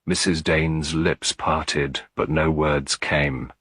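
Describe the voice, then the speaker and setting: A man narrating a classic detective story in a measured, dramatic manner. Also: a bass voice, steady tone, fairly bright tone, slightly rough, dry recording, clean studio sound. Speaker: audiobook narrator.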